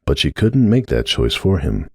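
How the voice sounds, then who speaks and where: A man's voice: very deep voice, big pitch swings, moderate pace, darkish tone, husky, no echo, studio quality. A man narrating a story as a dramatic audiobook reading.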